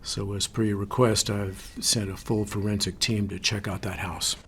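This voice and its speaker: Husky, measured agency director. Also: male voice, on the low side, flat delivery, a bit bright, full character voice. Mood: stern, concerned. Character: measured male agency director, firm but supportive boss. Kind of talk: audio fiction drama acting.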